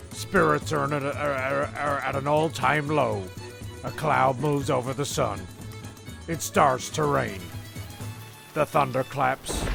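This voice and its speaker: A man's voice: mid-range voice, creaky. A man narrating a comic story as host, mock-dramatic and rambling.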